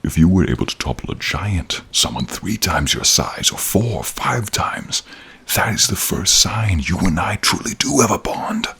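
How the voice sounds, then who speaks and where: Very deep, gravelly dragon. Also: male voice, lively intonation, a bit bright, full character voice. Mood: animated, wondering. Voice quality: very deep, gravelly, husky, breathy. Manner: slow, solemn, formal, dramatic pauses. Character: old wise protective male dragon, grave and caring. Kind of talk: fantasy audio roleplay, addressing the listener. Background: rain and cave ambience.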